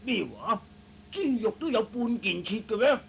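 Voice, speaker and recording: A man acting a creaky, gruff shop boss. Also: high voice, very animated, unhurried, a bit bright, voice pushed up, full character voice. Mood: exasperated. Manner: grumbling, blunt, ordering people about. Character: gruff, impatient middle-aged male shop boss. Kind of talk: Cantonese comic radio play acting. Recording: noisy old radio recording.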